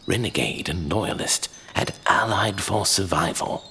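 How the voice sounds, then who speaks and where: A man's voice: sits low, a little slow, sharp tone, a little hiss or hum. A man narrating a scripted fantasy saga as a dramatic storyteller.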